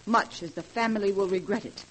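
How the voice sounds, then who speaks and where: An actress playing an imperious, suspicious sister with a stern, sardonic manner in a 1940s radio horror drama. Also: female voice, medium pitch, fairly quick, close to an everyday voice. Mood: threatening. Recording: noisy old 1940s radio recording.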